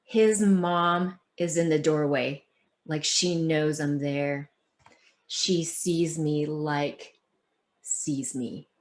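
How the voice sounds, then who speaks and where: A woman author who reads aloud and talks in an expressive, deliberate storytelling manner. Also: low-pitched. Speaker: children's book author. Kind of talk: picture-book read-aloud and conversation.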